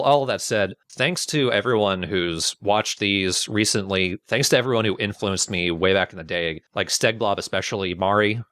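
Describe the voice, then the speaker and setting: A man's voice: lowish voice, a bit bright, no echo, clean studio sound. A man talking casually and informally about himself as a video creator, in a reflective, slightly self-deprecating way. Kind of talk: casual personal reflective talk.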